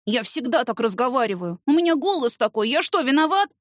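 A woman voicing an energetic young son in an audiobook, earnest and sulky in a childlike way. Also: high-pitched, fairly quick, dry recording, studio quality, voice pushed up. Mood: sulky, indignant. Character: energetic young red-haired son, loud, sulky, candid.

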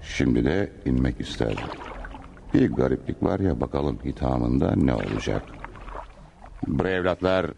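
A man's voice: very deep voice, expressive, a little slow, gravelly, mellow, a little hiss or hum, full character voice. An actor playing a stately, devout Ottoman sultan in a radio play, speaking in archaic, courtly exclamations. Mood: puzzled, amazed. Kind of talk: Turkish radio theatre acting.

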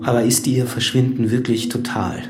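A man acting a brooding, solitary survivor of vanished humanity in a literary radio drama, measured and reflective. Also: medium pitch, fairly even intonation, lightly acted. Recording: echoey voice under clear music.

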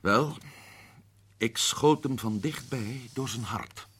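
A man's voice: mid-range voice, slow, fairly bright tone, breathy edge, dry recording. An actor playing a grim, guarded male adventurer recounting events in a radio drama. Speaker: narrator and lead character Paul.

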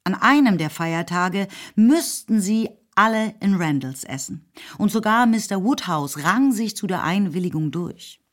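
A woman narrating a radio play in a measured, even storytelling manner. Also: medium pitch.

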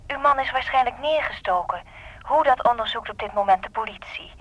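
An actress in a radio play playing a calm, businesslike hospital nurse with a formal, reassuring manner. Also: female voice, fairly high pitch, fairly quick, muffled recording. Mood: calm, official. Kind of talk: Dutch radio play acting.

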